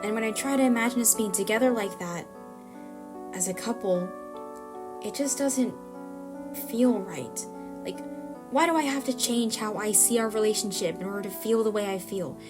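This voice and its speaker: A woman's voice: medium pitch, over music, close to an everyday voice. Woman voicing an awkward, anxious young camera operator. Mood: earnest, anxious. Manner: halting, hesitant, rambling. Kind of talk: audio drama acting.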